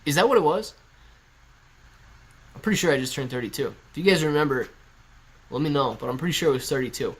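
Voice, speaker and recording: A man in his early thirties with a somewhat high voice, hosting a relaxed live stream from his living room. He reads the chat aloud in a laid-back, chatty way and laughs easily. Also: fast talker, long pauses, breathy edge. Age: 30s. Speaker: stock livestream host. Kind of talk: casual live-stream chat reading viewer comments. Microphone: laptop on a kitchen stool at eye level.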